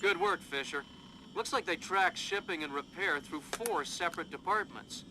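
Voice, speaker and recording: A man's voice: sits high, normal speed, lightly acted. A man as an easygoing intel colleague, noisy background. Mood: casual, keen. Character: easygoing, keen male intelligence colleague on comms. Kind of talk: video game voice acting.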